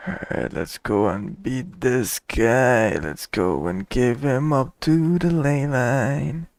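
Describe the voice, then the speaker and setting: A man streaming a game, giving casual, low-key reactions in short muttered remarks. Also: slow, vocal fry, darkish tone, dry recording.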